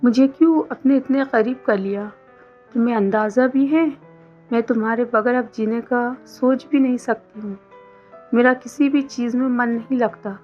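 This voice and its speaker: A woman's voice: on the high side, close to an everyday voice. A woman voicing a lovestruck, earnest young college woman in a storybook romance, pleading and heartfelt. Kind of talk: scripted story dialogue. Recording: dull lo-fi sound under music.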